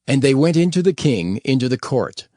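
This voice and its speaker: A man narrating dramatized King James scripture in a formal, measured storytelling manner. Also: medium pitch, big pitch swings, warm tone, no echo, clean studio sound.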